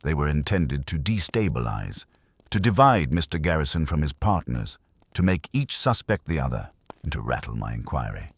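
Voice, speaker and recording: A man voicing an imperious, calm detective genius in an audiobook, pompous, formal and husky. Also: rumbling low, moderate pace, dry recording, heavily acted. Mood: stern, imperious.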